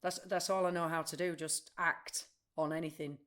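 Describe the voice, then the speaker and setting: A woman's voice: low-pitched, flat delivery, moderate pace, fairly bright tone. An actress playing a blunt, restless, literal-minded woman in audio play dialogue. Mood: dismayed.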